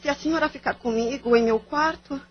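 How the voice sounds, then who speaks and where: A woman plays a young daughter in an audio drama, plaintive and dutiful. Her voice is high-pitched, and the recording is slightly dull. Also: a little fast, natural voice. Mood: pained, plaintive.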